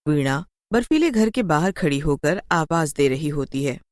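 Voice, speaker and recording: A woman narrates a scripted children's horror story. Her voice sits a little low, and the recording is close and dry with no room sound. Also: expressive, moderate pace, a little mellow, no echo.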